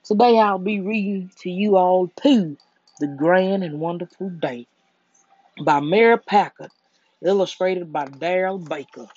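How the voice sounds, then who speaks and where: A storyteller reading a children's book aloud for bedtime in a soothing, deliberate way. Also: mid-range voice, a little mellow, no room sound, dull audio.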